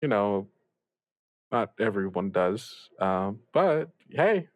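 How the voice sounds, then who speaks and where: A man playing a reassuring older radio mentor in an improvised audio drama, giving gentle advice in a halting, rambling way. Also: on the low side, very animated, no room sound. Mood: halting reassurance. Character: reassuring older male radio mentor, upbeat, evasive about troubles. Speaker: student radio show host. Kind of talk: improvised actual-play audio drama, conversational.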